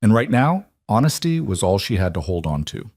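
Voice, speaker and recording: A man narrates dramatic romance fiction in a low voice. The recording is dry, with very little room sound. He reads from a script as a storybook narrator. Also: big pitch swings, fairly quick, a little mellow, dry recording.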